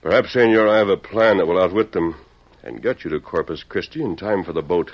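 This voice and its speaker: A man playing a steadfast, noble masked Western hero in an old-time radio drama, speaking in a measured, formal, commanding way. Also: low voice. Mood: resolute. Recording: muffled lo-fi vintage radio recording.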